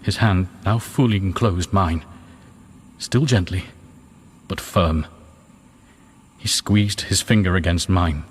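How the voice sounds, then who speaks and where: Tormented confessor for radio drama: very low voice, grave, noise behind. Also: male voice, slow pace, pauses often, in a large hall. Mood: haunted. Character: haunted man confessing a night of murder on tape.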